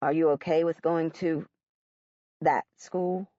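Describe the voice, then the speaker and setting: A woman voicing a weary, grieving father who speaks quietly and haltingly. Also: deep voice, steady tone, no room sound, muffled recording, pitched below the performer's own voice. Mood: weary grief.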